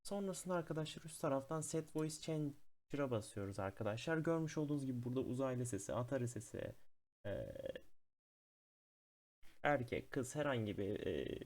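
Man hosting a casual software tutorial. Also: mid-range voice. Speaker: tech tutorial host.

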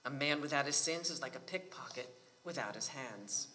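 Storybook crime boss male reader: hushed, measured threats, commanding, hard bright edge, long hall reverb. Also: mid-range voice, expressive, a little hiss or hum. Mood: quiet menace. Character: menacing Mafia don, quietly commanding.

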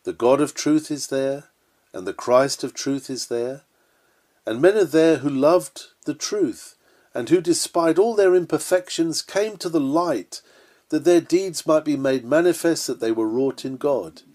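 A man's voice: fairly high pitch, measured pace, darkish tone. Earnest man reading an old sermon. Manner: earnest, oratorical delivery. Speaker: sermon reader.

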